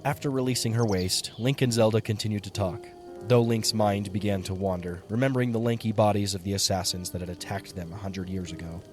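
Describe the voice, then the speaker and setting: A man's voice: lowish voice, steady tone, fairly quick. A man narrating a dramatic story as a scripted audio drama narrator. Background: music behind the voice.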